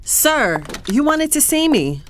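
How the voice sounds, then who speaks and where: A woman's voice: sits high, big pitch swings, takes it slowly, noisy background, heavily acted. A woman playing a polite but defiant employee in an audio drama, speaking formally.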